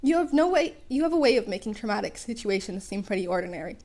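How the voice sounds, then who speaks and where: A woman's voice: mid-range voice, sing-song, fast, darkish tone. A woman voicing a grumpy, sarcastic teenage boy in a story, dry and exasperated.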